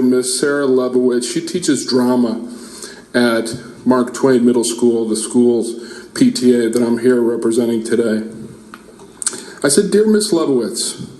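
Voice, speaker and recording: A man in his forties, a parent giving a heartfelt prepared speech at a public meeting, now and then halting and restarting. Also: medium pitch, a little mellow. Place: large meeting room. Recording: echoey meeting hall.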